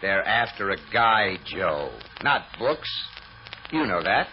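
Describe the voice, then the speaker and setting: Cocky private detective, muffled sound. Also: male voice, lowish voice, very animated, slow pace. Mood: wry. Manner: cocky, defiant. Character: brash, stubborn, wisecracking male private detective. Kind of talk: old-time radio drama acting. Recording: muffled old radio recording, faint noise.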